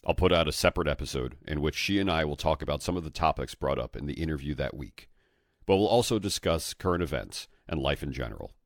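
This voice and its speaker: Male podcast host, very low bass register, dry close recording, scripted and measured delivery. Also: dry recording.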